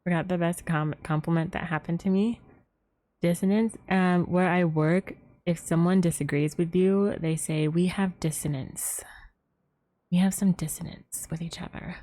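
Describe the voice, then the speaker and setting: A woman's voice: fairly low pitch, steady tone, moderate pace, warm tone. A woman game streamer chatting casually with her live viewers. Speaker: game livestreamer. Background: video game music and dialogue.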